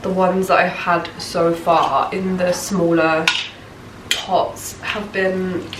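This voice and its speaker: A woman's voice: fairly low pitch, little pitch movement, slow pace, distant mic, heavy background noise. A woman in her twenties chatting casually in a study vlog, sounding bunged up from a cold, with a rambling, filler-heavy way of talking. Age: 20s. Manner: chatty, rambling, lots of likes and restarts. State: congested with a cold. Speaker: vlogger.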